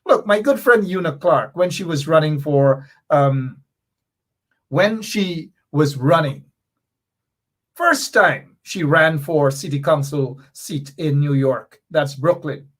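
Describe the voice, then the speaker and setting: A man's voice: on the high side, slow, a bit bright. A middle-aged man from Guyana with a Guyanese accent, hosting a political talk show and delivering emphatic commentary that often repeats key points.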